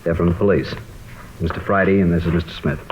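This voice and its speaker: A man playing an earnest, troubled American high school teacher in a mid-century radio drama, explaining things hesitantly. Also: fairly even intonation, fast talker, natural voice. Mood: concerned, polite. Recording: dull, lightly noisy old radio recording.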